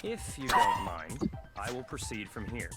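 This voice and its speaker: Haughty young detective type, swinging intonation. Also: male voice, on the low side, wildly swooping pitch, over music. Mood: haughty, certain. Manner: formal, haughty, deliberate. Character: cold, self-assured young man taking charge of a murder investigation. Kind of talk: video game voice acting.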